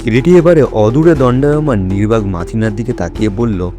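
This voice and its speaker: A man's voice: lowish voice, brisk, dark tone, faint music behind. A man narrating a story for an audio drama in a scripted, dramatic storytelling style.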